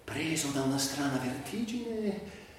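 An actor playing a bitter, sardonic, foul-mouthed sick man in a volatile theatrical monologue, with drawn-out words and breathy outbursts. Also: fairly high pitch, animated. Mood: haunted, wistful. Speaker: stage actor performing a monologue. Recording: echoey hall, distant mic, faint noise.

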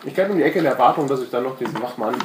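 A man's voice: mid-range voice, lively intonation, racing. A man chatting casually and improvising as a player in a tabletop role-playing game. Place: indoor room at a game table. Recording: echoey room with faint noise.

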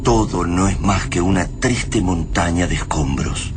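A grave narrator of a post-invasion radio tale. His Argentine voice is low and solemn, with literary phrasing, over a noisy soundtrack. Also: a little slow. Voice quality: deep. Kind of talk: radio drama scripted narration.

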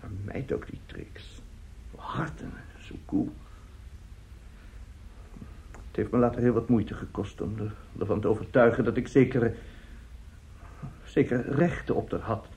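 An actor in a radio drama playing a middle-aged, self-justifying seducer and family friend, speaking haltingly and emphatically. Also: male voice, medium pitch, a little mellow, natural voice. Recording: dull, muffled radio play recording.

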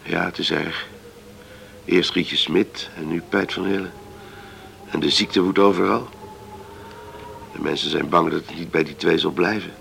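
Earnest farmer for radio drama, low and heavily creaky, sober delivery, faint background noise. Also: male voice, steady tone, unhurried, long pauses, a bit bright, heavily acted. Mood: worried. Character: earnest, plain island farmer, husband, concerned. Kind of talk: Dutch radio play acting.

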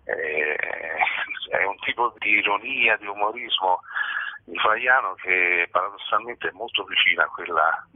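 A man speaks in a low, raspy voice over a thin, roomy phone connection. He answers as an interviewed actor, thoughtfully and haltingly, often searching for his words. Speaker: stage actor, interview guest. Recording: muffled roomy telephone line.

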